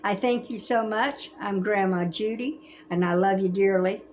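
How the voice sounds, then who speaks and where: A woman in her seventies reading a children's story aloud in a gentle, expressive, grandmotherly way. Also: medium pitch, normal speed, a little hiss or hum. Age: 70s. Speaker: storybook reader.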